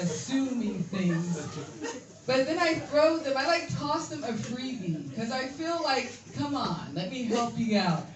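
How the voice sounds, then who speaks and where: Slow-talking American woman doing stand-up. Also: on the high side, very noisy. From United States. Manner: playful, laughing at her own jokes, acting out others' questions. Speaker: stand-up comedian. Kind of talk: stand-up comedy set. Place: comedy venue with live audience. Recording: echoey room, distant from the mic.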